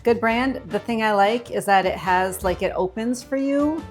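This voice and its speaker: A woman's voice: a little high, normal speed, faint music behind. An American woman co-hosting a cooking show, chatting casually and conversationally as she explains things step by step. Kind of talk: casual chat while demonstrating cooking.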